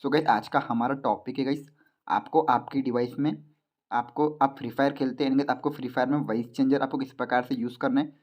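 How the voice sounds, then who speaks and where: Male Indian tech tutorial host, medium pitch, casual step-by-step delivery, repetitive direct address, muffled low-fidelity audio. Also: steady tone, fairly quick. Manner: repetitive, often addresses listeners as guys. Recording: dull lo-fi sound.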